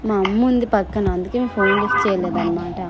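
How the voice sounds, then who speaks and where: A woman's voice: mid-range voice, fast talker. A woman chatting flirtatiously and insistently on a call, pressing her point as a devoted fan. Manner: pushy, insistent. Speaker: prank caller posing as a fan. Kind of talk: flirtatious phone chat. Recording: very noisy recording.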